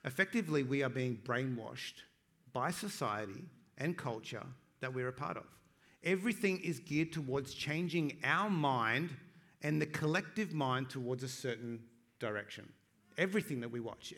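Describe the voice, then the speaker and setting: A man preaching a sermon, swinging between loud, emphatic declarations and quiet, joking asides to the congregation, slowing down to stress key phrases. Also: medium pitch, animated. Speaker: pastor.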